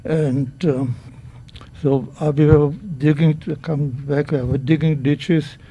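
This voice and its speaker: A man in his nineties, a native German speaker, nervously giving personal testimony at a microphone in halting English. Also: a little high, fairly even intonation, dark tone, husky, rough recording. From Czechoslovakia. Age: 90s. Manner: halting, searching for words, many restarts. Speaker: guest speaker at a public event.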